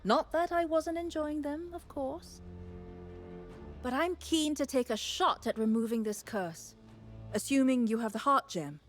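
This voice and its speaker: A woman's voice: high-pitched, measured pace, faint music behind. An actress playing a keen, confident young female scholar in a fantasy game, wry and matter-of-fact. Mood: keen, businesslike.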